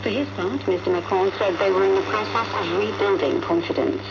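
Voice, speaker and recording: Heavy static surrounds a woman reading the news on shortwave radio. Her voice has a gravelly creak, and she delivers the script formally. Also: medium pitch, fairly quick. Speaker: newsreader. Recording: noisy shortwave radio broadcast.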